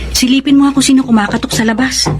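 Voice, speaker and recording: An actress playing a kindly, soft-hearted older sister in a radio drama, gentle and hesitant in manner. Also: female voice, high-pitched, fairly even intonation, quick pace, noisy background, close to an everyday voice. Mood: cautious, hesitant. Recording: music playing behind the voice.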